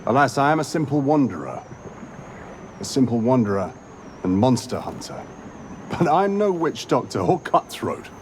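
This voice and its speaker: A man's voice: medium pitch, big pitch swings, normal speed, pauses often. A man playing a courteous, sardonic wandering monster hunter in a video game, speaking formally. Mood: wry. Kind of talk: video game character acting. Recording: clear background noise.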